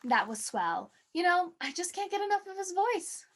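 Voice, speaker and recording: Woman acting high-voiced, starstruck 1940s radio lady. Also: sing-song, normal speed, exaggerated voice. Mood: gushing, dramatic.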